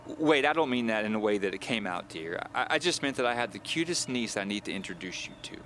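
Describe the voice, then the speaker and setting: A man's voice: on the low side, animated, quick pace, fairly bright tone, a little hiss or hum. A man voicing a cheerful, chatty, nosy woman of about seventy in an audio drama, with a folksy, fussing manner. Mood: nosy, teasing.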